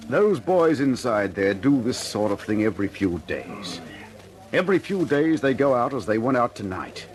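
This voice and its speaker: An actor playing a hard-drinking but steady, frank RAF airman in an old-time radio drama. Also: mid-range voice, lightly acted, poor audio. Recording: old radio recording, party chatter behind.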